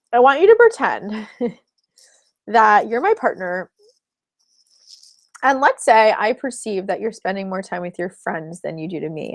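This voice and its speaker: A woman's voice: medium pitch, creaky, a little mellow, dry recording. A woman relationship coach teaching a live webinar in a chatty, explanatory way, laughing between points.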